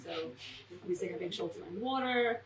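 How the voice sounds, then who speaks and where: A woman's voice: mid-range voice, brisk. An American woman co-founder of an animal welfare charity, answering interview questions earnestly with many ums and restarts. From United States. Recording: echoey, distant mic with loud crowd noise.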